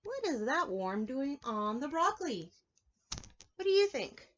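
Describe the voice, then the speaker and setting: A woman's voice: high voice, very animated, unhurried, fairly bright tone, lo-fi sound. A woman preschool teacher reading a picture book aloud to young children, explaining it simply and asking them questions. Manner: instructive, simple phrasing, asks children questions.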